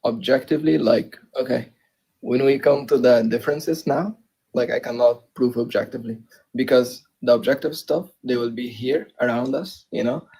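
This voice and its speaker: A man's voice: mid-range voice, moderate pace, mellow, slightly rough, low-quality recording. A young man from Egypt, a student in a live debate, answering hesitantly with many fillers and restarts. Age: young adult. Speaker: debate participant.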